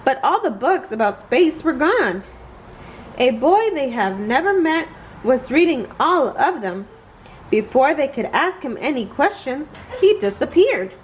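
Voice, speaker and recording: A woman's voice: high-pitched, very animated, unhurried, lo-fi sound, slight background noise. A woman reading a children's bedtime story aloud, expressively and carefully. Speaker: storybook reader.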